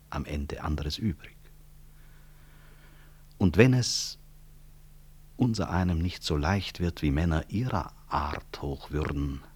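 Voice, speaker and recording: An actor playing a proud, composed middle-aged physician and professor in a 1960s radio play, formal and measured, husky, with grave irony. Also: male voice, extremely low pitch, heavily acted. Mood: grave, ironic.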